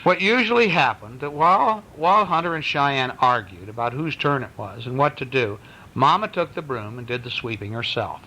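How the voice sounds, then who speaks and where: Bright-voiced man reading a bedtime story. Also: moderate pace. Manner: conversational, expressive, questioning the child. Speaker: parent or relative reading a bedtime story to a child. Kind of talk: animated story reading with casual asides. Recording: noisy home recording with low rumble. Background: small child chiming in.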